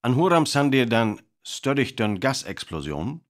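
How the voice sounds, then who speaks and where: An earnest man reporting live in a radio drama. His North Frisian voice sits at a medium pitch. He speaks formally and deliberately, in the manner of a radio interviewer. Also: big pitch swings. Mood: earnest, attentive. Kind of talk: radio play acting as live news reporting.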